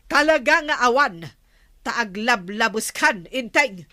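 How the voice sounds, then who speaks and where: An actress with an Ilocano accent playing a nagging, no-nonsense village wife in a radio comedy drama. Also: female voice, on the high side, lively intonation, takes it slowly, bright, forceful tone, heavily acted. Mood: stern, nagging. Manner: nagging, emphatic.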